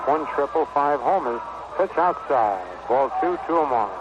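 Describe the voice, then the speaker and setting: American baseball announcer on noisy vintage radio. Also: male voice, a little high, expressive, normal speed. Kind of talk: 1960s radio sports commentary. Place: stadium broadcast booth. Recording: noisy dull vintage 1960 radio broadcast.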